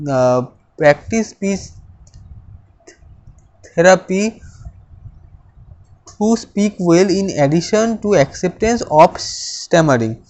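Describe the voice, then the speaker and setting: A speaker, reading self-affirmations aloud slowly and deliberately, stammering with repeated words and restarts. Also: medium pitch, a little mellow. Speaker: speech practice coach.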